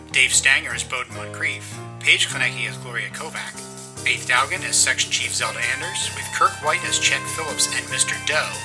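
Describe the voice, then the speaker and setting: A man reading the closing credits in a deadpan, self-mocking announcer voice. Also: mid-range voice. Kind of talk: scripted credits narration. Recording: music bed under the voice.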